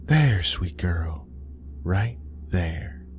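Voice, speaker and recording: A man voicing a sly spirit in a mother's shape for a horror story, sweetly taunting in a drawn-out folksy way. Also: lowish voice, big pitch swings, soft background music, exaggerated voice. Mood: eerie coaxing. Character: sly menacing female spirit wearing a mother's face.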